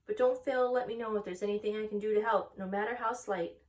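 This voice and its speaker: A woman voicing an innocent, anxious young male pig for a storybook, soft, pleading and childlike. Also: mid-range voice, fairly even intonation, fast, pitched above the performer's own voice, lightly acted. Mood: eager, pleading.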